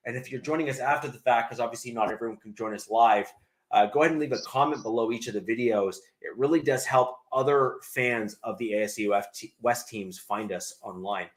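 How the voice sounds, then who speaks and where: A man's voice: mid-range voice, a little fast, rough recording. Laid-back man hosting a sports podcast. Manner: relaxed, filler-laden, calls for likes. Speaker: podcast host.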